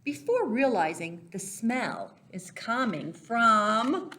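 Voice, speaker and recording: A woman reading a picture book aloud to young children as a storyteller, expressive and deliberate, stressing key words and speaking directly to her listeners. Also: a little high. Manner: talks directly to young listeners, asking simple questions. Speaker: children's storyteller for a church Sunday school.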